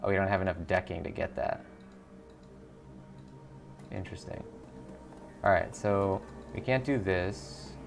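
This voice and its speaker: A man with a fairly low voice and plenty of vocal fry hosts a gaming video. He comments casually and mutters through his thinking, reading game text aloud. Faint music plays behind him. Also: unhurried, pauses often.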